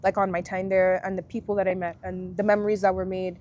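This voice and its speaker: A woman in her twenties from Guyana, a university alumna, talking through her experiences in a relaxed, reflective way as an interview guest. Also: mid-range voice, quick pace, slight background noise. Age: 20s. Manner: reflective, friendly, thinks aloud with fillers. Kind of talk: casual interview chat.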